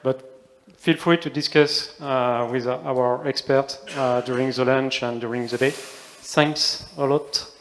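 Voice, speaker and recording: A man moderating a panel closes the session in a slow, unhurried voice. The sound carries a long hall reverb over a faint hiss. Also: mid-range voice. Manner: relaxed, laughing as he wraps up. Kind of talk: closing remarks.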